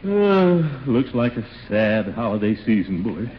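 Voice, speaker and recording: An actor playing a blustery, sentimental middle-aged American uncle in a 1940s radio comedy, with a theatrical, drawn-out delivery. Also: male voice, medium pitch, very animated. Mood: mournful. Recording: muffled, noisy 1940s radio recording.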